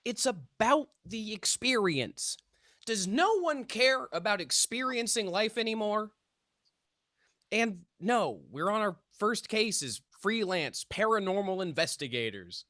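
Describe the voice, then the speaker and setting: Man acting a slow, animated slacker investigator. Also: high-pitched, dry recording, studio quality, full character voice. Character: Hank, breezy stoner young amateur paranormal investigator. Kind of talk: live script table read.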